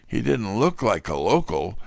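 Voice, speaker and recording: An actor playing a wry, tough, wisecracking detective's assistant in hardboiled first-person mystery narration and dialogue. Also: male voice, on the low side, very animated, measured pace, vocal fry, darkish tone, dry recording, exaggerated voice.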